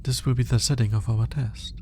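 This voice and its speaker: A man playing an alien scientist in an ASMR roleplay, formal and curious, with clinical wording and hesitant, flustered pauses as he awkwardly courts the listener. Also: mid-range voice, fairly even intonation, darkish tone, a little hiss or hum, close to an everyday voice. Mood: awkward, shyly romantic. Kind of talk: ASMR roleplay audio drama, speaking to the listener.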